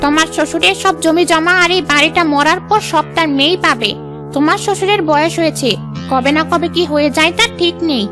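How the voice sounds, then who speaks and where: A woman's voice: very high-pitched, fairly quick, over music. A woman voicing a scheming, greedy older mother-in-law in a cartoon, with a persuasive, calculating manner. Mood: coaxing, calculating. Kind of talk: Bengali cartoon dialogue.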